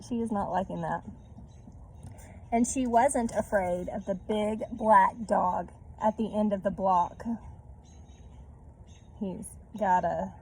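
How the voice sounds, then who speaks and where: A woman reads a picture story aloud at an unhurried pace, with noticeable creak in her voice. She breaks off for chatty asides and checks in with small tag questions. Faint noise sits behind her. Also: mid-range voice, a little mellow, low-quality recording.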